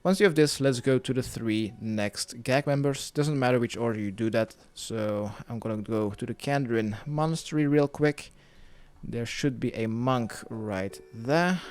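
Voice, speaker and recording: A man's voice: mid-range voice, a little slow, darkish tone, clear, resonant tone, dry recording. A man narrating a step-by-step video game guide, giving plainly worded instructions as a tutorial voice-over. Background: music.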